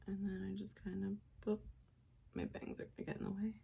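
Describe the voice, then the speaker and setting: A young woman with a raspy, congested voice from a sinus infection, chatting casually through a quick makeup tutorial with lots of fillers. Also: medium pitch, monotone, mellow, a little hiss or hum. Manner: chatty, rambling with many fillers. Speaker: beauty vlogger.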